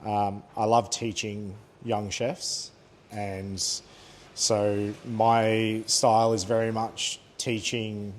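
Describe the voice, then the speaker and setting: An Australian man in his thirties, a head chef chatting easily in an interview, pausing with fillers and laughing now and then. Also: on the low side, flat delivery, a little hiss or hum. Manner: easygoing, laughs often, thoughtful pauses with fillers. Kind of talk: relaxed conversational interview.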